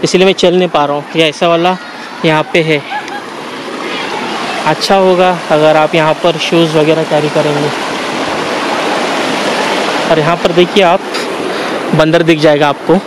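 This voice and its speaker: A man, casually narrating a travel vlog as he walks, pointing things out to viewers in an unhurried way. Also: on the high side, little pitch movement. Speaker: travel vlogger. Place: outdoors on a forested trail by a stream and waterfall. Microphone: handheld camera at arm's length. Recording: noisy outdoors with rushing water.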